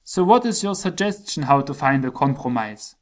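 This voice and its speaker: An actor playing a conciliatory, pragmatic European leader in satirical rhymed dialogue, speaking in a measured, formal, diplomatic way. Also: mid-range voice, animated, lightly acted.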